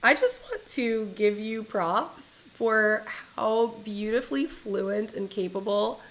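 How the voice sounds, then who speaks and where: A woman's voice: medium pitch, takes it slowly, a bit bright. A young woman hosting a casual interview, effusive and enthusiastic, laughing often. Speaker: host.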